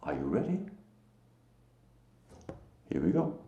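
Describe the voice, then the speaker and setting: A Danish-accented man delivers a slow, emphatic religious talk, pausing often between phrases. His low voice is dark and gravelly and swings widely in pitch. The sound carries a roomy echo. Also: wildly swooping pitch, dull audio. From Denmark. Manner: slow, halting, emphatic, with short laughs. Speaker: lay preacher. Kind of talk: solo religious talk to camera.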